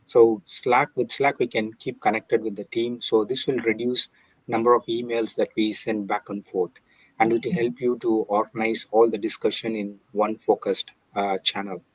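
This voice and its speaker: Male business speaker, lowish voice, close dry sound; methodical explainer giving practical tips. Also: level tone, normal speed, dry recording, rough recording.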